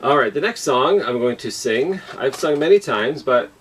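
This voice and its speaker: A man's voice: mid-range voice, lively intonation, normal speed, fairly bright tone, distant mic, faint music behind. A man hosting a children's storytime, explaining things simply and often rephrasing or repeating for young listeners. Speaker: library storytime host and singer.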